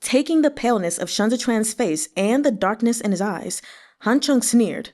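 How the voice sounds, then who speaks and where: A woman reads a novel aloud as the host of a read-along. Her voice sits high and moves with lively, dramatic intonation through the narration. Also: normal speed. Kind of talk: expressive narration of a translated novel.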